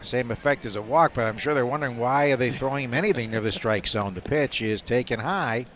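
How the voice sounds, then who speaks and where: A man calling baseball live on radio, describing each play in detail and getting excited on the big ones. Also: medium pitch, fairly quick. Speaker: play-by-play announcer. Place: stadium broadcast booth. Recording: faint stadium noise behind a broadcast.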